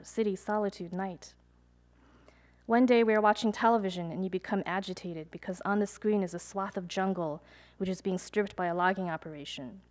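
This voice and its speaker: A woman's voice: mid-range voice, darkish tone. Woman reading her poems to an audience. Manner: measured, deliberate reading with chatty hesitant asides between poems. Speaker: poet. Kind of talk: poetry reading with casual asides to an audience. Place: live reading event before an audience.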